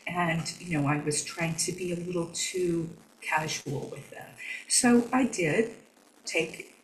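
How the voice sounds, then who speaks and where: A woman guest speaking softly and conversationally, reflecting on her own experience. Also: fairly low pitch, roomy sound, distant mic, slight background noise, low-quality recording. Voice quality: soft voice that does not carry. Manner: conversational, reflective, soft-spoken. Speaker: podcast guest. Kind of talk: conversational interview.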